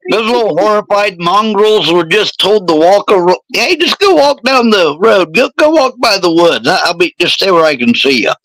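A man in his forties with a slightly raspy voice, hosting a casual podcast chat with crude jokes. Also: high voice, very animated, normal speed, hardly any pauses, fairly bright tone, no room sound, rough recording. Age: 40s. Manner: joking, crude, rambling. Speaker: podcast host.

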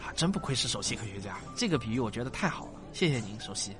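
Man acting a patient, animated explaining father. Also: medium pitch, natural voice. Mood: polite. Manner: didactic, drawn-out sentence particles, animated. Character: patient, affectionate father explaining science to his child. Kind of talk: Chinese sci-fi audio drama acting. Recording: music bed behind voice.

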